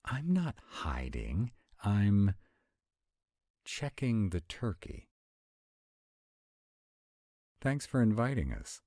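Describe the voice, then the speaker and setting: A man's voice: on the low side, huge pitch swings, takes it slowly, warm tone, no room sound, clean studio sound, exaggerated voice. A man voicing supernatural male characters at a dinner party in a theatrical roleplay audio drama. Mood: flustered, defensive.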